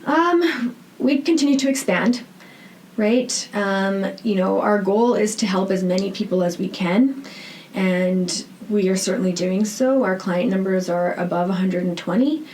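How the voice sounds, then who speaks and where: A woman's voice: medium pitch, a little slow, a little mellow, low-quality recording. A woman with a Canadian accent answering interview questions conversationally, earnest and emphatic, often checking points with "right?". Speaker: podcast guest, founder of a rehab centre. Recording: noticeable background noise.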